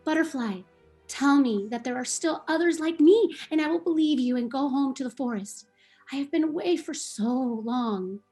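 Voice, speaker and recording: A woman's voice: high voice, warm tone, faint music behind. A woman playing a lonely pleading unicorn. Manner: slow, wistful, pleading. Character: lonely young female unicorn searching for her kind. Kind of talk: play table read.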